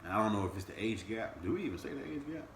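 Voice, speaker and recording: A man in his thirties, co-hosting a podcast in casual chat with his partner, animated and jumping up high in pitch when he teases. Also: racing, roomy sound, a little hiss or hum. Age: 30s. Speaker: podcast co-host.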